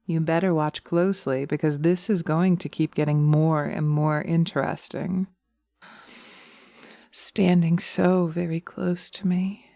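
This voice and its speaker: A woman playing seductive, taunting witches in a slow hypnosis roleplay, speaking in a hushed, dominant manner close to the microphone. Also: deep voice, fairly even intonation, creaky, no room sound. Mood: seductive, commanding. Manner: sultry, slow, hushed, often dropping to a whisper. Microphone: close binaural microphone.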